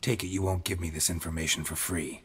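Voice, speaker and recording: A stoic monster hunter from a fantasy game, with a low and extremely raspy voice. He is terse and deadpan, probing with few words. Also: fast. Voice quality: husky, raspy. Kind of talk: dramatic video game acting.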